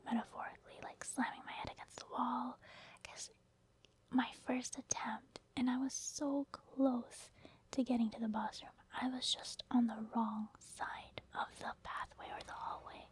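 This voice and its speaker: A woman speaks in a steady whisper, doing ASMR game commentary. Her pitch sits a little high and stays fairly flat. She talks informally, as if chatting straight to viewers. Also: a little slow. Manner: informal, conversational, addressing viewers. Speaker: ASMR gaming creator.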